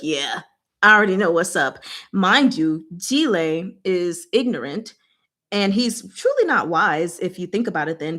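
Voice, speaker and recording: A woman's voice: lowish voice, a bit bright. Playful woman in casual, chatty recap. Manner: playful, slangy, acts out characters' lines in casual speech. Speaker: host of a book discussion.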